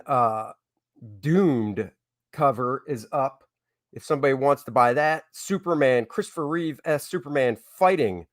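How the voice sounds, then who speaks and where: A man with a slightly high voice chats informally as a livestream host and working artist. He talks to viewers directly as friends and reads their comments aloud, and the sound is close and dry. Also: no room sound. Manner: chatty, informal, addresses viewers as guys. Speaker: host, comic book artist.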